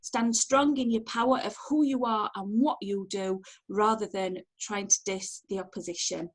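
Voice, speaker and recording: A middle-aged woman with a Sheffield accent giving an informal online training talk from home, chatty, animated and direct. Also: medium pitch, normal speed, low-quality recording. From Sheffield, England. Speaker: empowerment coach giving online networking training. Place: at home on a video call. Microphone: video call, wearing headphones.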